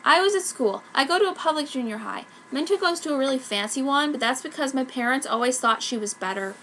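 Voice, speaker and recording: A woman's voice: high voice, expressive, fast, a bit bright, slight background noise, pitched above the performer's own voice. Woman as a melodramatic outcast goth schoolgirl. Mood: wounded, dramatic. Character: self-pitying, dramatic outcast goth schoolgirl. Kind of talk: mock-earnest first-person fanfic narration.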